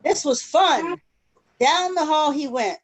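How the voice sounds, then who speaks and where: A woman reading a picture book aloud to children in an expressive storytelling way over a video call. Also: high-pitched, slow pace. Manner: expressive storytelling with questions to listeners. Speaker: children's story-time reader. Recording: noisy video call recording.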